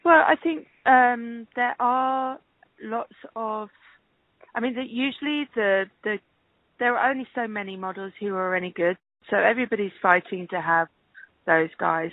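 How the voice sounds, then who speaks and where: A woman's voice: medium pitch, no room sound. A woman answering interview questions over the phone in a hesitant, conversational way, thinking aloud as she goes. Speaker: interview guest, casting director. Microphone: telephone call. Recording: tinny, band-limited phone line.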